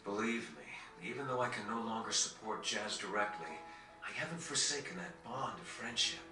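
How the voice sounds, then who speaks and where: A man acting a dutiful, earnest young prince in a video game, speaking in a formal, measured, regretful way. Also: lowish voice, close to an everyday voice. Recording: echoey, distant, over game music.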